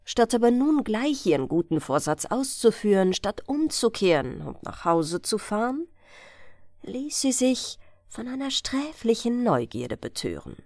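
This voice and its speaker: A woman narrates a fairy tale for bedtime listening. Her voice is fairly high and sweeps widely in pitch, while she keeps a very slow, measured pace. The delivery is expressive and scripted. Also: dry recording, clean studio sound. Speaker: audiobook narrator.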